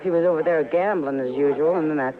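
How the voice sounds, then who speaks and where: A woman's voice: sits low, fast talker, lightly acted. Woman playing a sassy saloon woman, old radio western. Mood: disdainful. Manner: direct, familiar. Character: plain-spoken, sassy frontier saloon woman. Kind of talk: 1950s radio western acting. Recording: old radio recording, narrow band, crowd behind.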